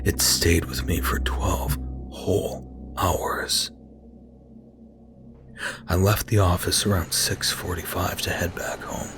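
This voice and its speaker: A man's voice: deep voice, background noise. A man voicing an anxious, obsessive-compulsive office worker turning coldly violent, in a tense, dramatic first-person horror narration. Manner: dramatic, tense, lurching from hushed murmurs to anguished cries. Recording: music behind the voice.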